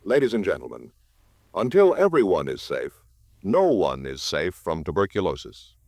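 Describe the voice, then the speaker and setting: A man's voice: deep voice, wildly swooping pitch, moderate pace, darkish tone, no room sound. An American man announcing in a polished, emphatic 1950s radio style, with formal scripted delivery. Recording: vintage 1950s radio recording, music behind.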